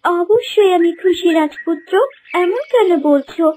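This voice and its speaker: A woman's voice: extremely high pitch, animated, a little slow, darkish tone, slight background noise. A woman voicing a sly, arrogant young female impostor in a theatrical cartoon style. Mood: falsely sweet. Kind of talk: cartoon villain voice acting.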